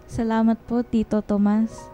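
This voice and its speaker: A woman's voice: fairly high pitch, flat delivery, measured pace, dark tone, natural voice. A woman playing a shy, scolding schoolgirl, the older sister, in a radio drama. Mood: shy gratitude. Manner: reserved, chiding. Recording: music bed behind the voice.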